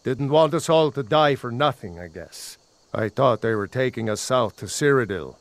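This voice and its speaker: An actor playing a loyal, earnest, plain-spoken rebel soldier in a fantasy video game. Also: male voice, medium pitch, expressive, moderate pace, no room sound, lightly acted. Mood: grim, weary. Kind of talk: video game dialogue acting.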